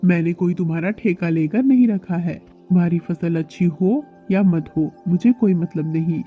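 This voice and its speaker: A man voices a callous crow moneylender in a children's cartoon. He is demanding and impatient, in a somewhat high male voice. The recording is narrow and phone-like, with faint music behind. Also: moderate pace, close to an everyday voice. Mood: threatening, dismissive. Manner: demanding, dismissive. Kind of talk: Hindi children's cartoon dialogue. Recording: thin phone-like audio with music underneath.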